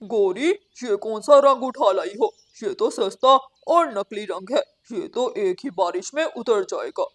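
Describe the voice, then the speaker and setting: Mid-pitched husband crow, a humble house painter in a kids' cartoon, matter-of-fact, voiced by a woman. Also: lively intonation, unhurried, hardly any pauses. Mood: concerned. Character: hardworking but henpecked male crow painter. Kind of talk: Hindi children's cartoon dubbing.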